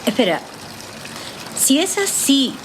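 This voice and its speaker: An actress with a Chilean accent playing a skeptical, serious psychologist with a questioning manner in a naturalistic audio drama. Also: female voice, high-pitched, sing-song, slow, noisy background, exaggerated voice. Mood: incredulous. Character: skeptical female trauma psychologist, probing and incredulous.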